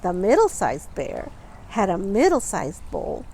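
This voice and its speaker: A woman reading a children's picture book aloud, expressively and deliberately, stressing words for young listeners. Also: mid-range voice, huge pitch swings, vocal fry, warm tone, breathy edge, rough recording. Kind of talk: children's storybook read-aloud with asides about the pictures.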